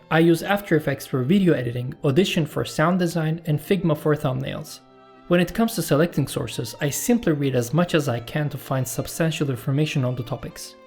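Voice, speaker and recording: A Turkish man, a native Turkish speaker, hosting his channel and reading out viewer questions and his answers in a calm, measured voice-over. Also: fairly high pitch, creaky. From Turkey. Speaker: YouTube channel host. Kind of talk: scripted Q&A voice-over.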